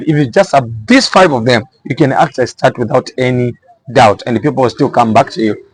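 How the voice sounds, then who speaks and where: A man's voice: mid-range voice, poor audio. A man with a Zambian accent giving small business advice in an informal explainer style, repeating phrases for emphasis.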